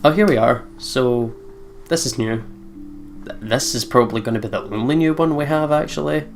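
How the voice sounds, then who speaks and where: A man's voice: medium pitch, normal speed, creaky, faint music behind. A man hosting a let's play with casual, chatty gaming commentary.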